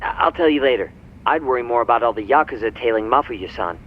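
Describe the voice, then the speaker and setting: A man's voice: medium pitch, expressive, quick pace, a little hiss or hum. A man playing a casual, slightly nervous young informant in a video game cutscene, heard through a phone, chatty and hesitant. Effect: phone call filter. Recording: dull phone call over faint music.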